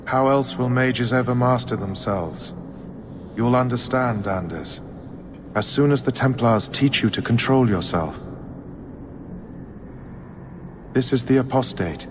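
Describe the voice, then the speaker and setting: An actor voicing a broken captive mage, solemn and sorrowful, in a dramatic fantasy video game. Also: male voice, mid-range voice, unhurried, background noise, natural voice. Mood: flat, controlled. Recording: music behind the voice.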